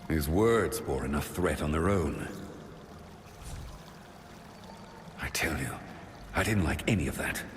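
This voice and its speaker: An actor playing a gruff, wary, loyal old soldier in a fantasy game, with a blunt, earthy, grumbling manner. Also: male voice, rumbling low, lively intonation, normal speed, slight background noise. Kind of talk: dramatic fantasy game acting. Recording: roomy long reverb, music behind.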